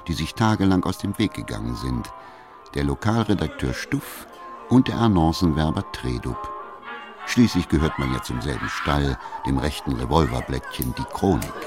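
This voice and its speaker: A radio drama narrator with an extremely low voice. He tells the story in a measured, wry way. Music plays behind him.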